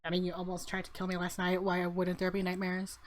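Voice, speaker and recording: A woman's voice: fairly low pitch, monotone, a little fast, slight background noise. A woman hosting a let's play, reading visual novel narration aloud with casual commentary. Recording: music clearly audible behind voice. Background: game music.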